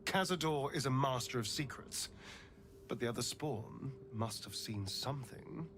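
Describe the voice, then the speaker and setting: An actor playing a sly, brooding vampire spawn rogue in a fantasy video game, with a smooth, sardonic delivery. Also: male voice, medium pitch, a little slow, background noise, lightly acted. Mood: scheming. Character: wry pale vampire spawn rogue man, sly and haunted.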